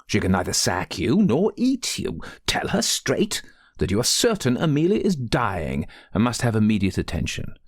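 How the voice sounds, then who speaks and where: Storybook role: indignant, insistent rector's wife performed by a man, very animated and raised in pitch, dry close sound. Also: measured pace, creaky, no room sound, exaggerated voice. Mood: forceful urging. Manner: emphatic, goading, insistent. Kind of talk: audiobook character voice.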